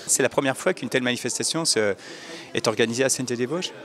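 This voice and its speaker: Male interviewer, mid pitch, quick short questions. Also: fairly bright tone.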